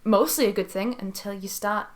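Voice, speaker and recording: A woman's voice: mid-range voice, normal speed, a crowd in the background. A woman hosting a podcast in casual chat, with a jokey, sweary way of talking. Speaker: podcast host.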